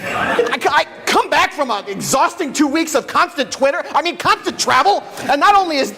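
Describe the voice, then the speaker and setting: A vain, petulant king acted by an amateur performer in a stage play, pitched high and swooping theatrically. The delivery is pompous and imperious, ringing through a long-reverb hall. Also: animated, moderate pace, crowd noise. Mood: indignant. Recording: echoey hall, faint background noise.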